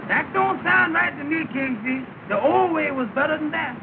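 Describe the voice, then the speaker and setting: A very high, creaky voice of a naive working fellow in a 1930 film comedy. He stammers, repeats himself and doubts what he is told. The soundtrack is tinny and noisy. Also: lively intonation, normal speed, exaggerated voice. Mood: protesting. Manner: stammering, repeating words, plaintive. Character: naive, doubting, stammering cab driver, a simple underling.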